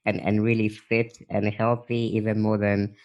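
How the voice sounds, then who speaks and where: A man's voice: lowish voice, level tone, moderate pace, darkish tone, dull audio. A man hosting an interview podcast, easygoing, often repeating words as he starts a sentence. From Africa. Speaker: podcast host. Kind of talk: casual interview chat.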